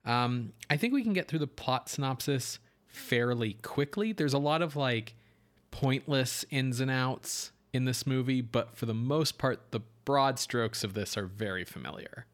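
A man co-hosting a film podcast, explaining things in a measured way as he moves between prepared notes and discussion. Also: medium pitch. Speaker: podcast co-host.

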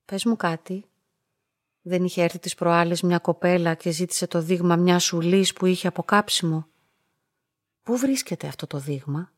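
An actress playing a lonely, quietly curious young woman in a radio play, telling her story in a measured, introspective way. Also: fairly low pitch, dry recording, studio quality, lightly acted. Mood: tentative, probing. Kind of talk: literary radio play narration and dialogue.

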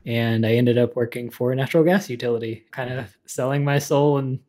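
A man in his thirties from Texas answers interview questions in an easygoing, casual manner. He tells his own story with light self-deprecation, his voice middle-pitched and even. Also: a little fast. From Texas, United States. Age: 30s. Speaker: guest, author.

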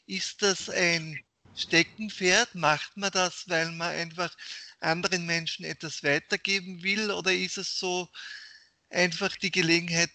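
A man interviewing a guest, his voice very bright and sharp and recorded dry and close. He sits slightly high in his range and builds his questions in a measured, formal way. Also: vocal fry, no room sound.

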